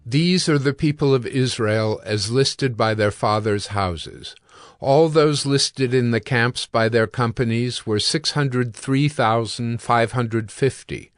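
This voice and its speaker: Unhurried male scripture narrator, dry sound. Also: medium pitch, dry recording. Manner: formal, measured.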